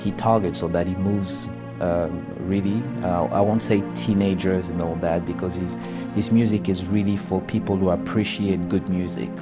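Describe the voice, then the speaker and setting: A man's voice: low-pitched, fairly even intonation, unhurried. A man giving a casual interview comment. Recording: loud music over the voice.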